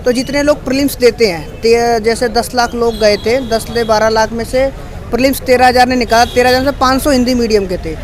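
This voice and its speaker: A man's voice: very high voice, fairly even intonation, brisk. A young man from Delhi, a civil-service aspirant, answering a street interview earnestly and insistently, mixing in English phrases. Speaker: aspirant attending a coaching seminar. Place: busy street outdoors. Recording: crowd noise on a busy street.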